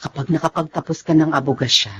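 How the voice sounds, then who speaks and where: A woman's voice: sits low, fast talker, a bit bright, breathy edge, full character voice. A woman playing a submissive middle-aged mother and family peacekeeper in a Filipino drama, chiding and placating with a Filipino accent. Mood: placating, resigned.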